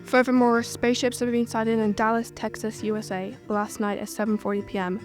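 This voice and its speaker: Stern female newsreader, acted by a young woman, fairly high voice, formal warning bulletin, music underneath. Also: little pitch movement, brisk, close to an everyday voice. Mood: stern, ominous. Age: young adult. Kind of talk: radio news bulletin acting.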